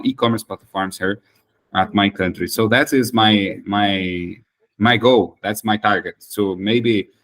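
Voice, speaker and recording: A man's voice: fairly low pitch, a bit bright. A Brazilian man whose first language is Portuguese, hosting an English practice chat and speaking hesitantly with many restarts. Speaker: host of an English practice meeting. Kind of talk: casual conversation practice.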